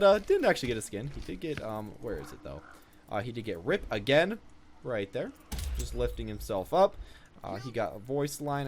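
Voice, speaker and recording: A young man hosts a gaming video in a fairly high, very lively voice that moves along briskly. His commentary is casual and rambling, as if made up on the spot. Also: a little hiss or hum.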